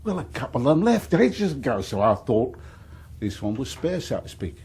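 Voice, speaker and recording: An actor playing a cheeky, wheedling wheeler-dealer in a radio drama, with a husky voice and a London Cockney accent. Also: male voice, mid-range voice, sing-song, normal speed, darkish tone, full character voice.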